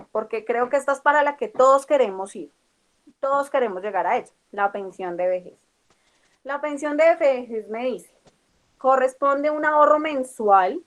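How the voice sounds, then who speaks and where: Colombian woman teaching a lesson. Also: fairly high pitch. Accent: Colombian Spanish. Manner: explains step by step, checks in with the class. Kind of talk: classroom lecture.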